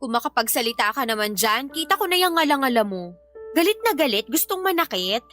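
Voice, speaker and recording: A Filipina actress playing a sharp-tongued, irritable young live-in girlfriend in an audio drama, scolding and dismissive. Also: high voice, sing-song, fairly quick, faint music behind. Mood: mocking, scornful. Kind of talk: Filipino radio-style drama acting.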